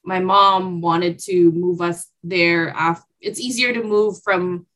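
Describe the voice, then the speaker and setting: A young woman from the Philippines, a podcast guest chatting in an animated, expressive way. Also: lowish voice. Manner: animated and expressive, quick laughs. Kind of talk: casual interview chat.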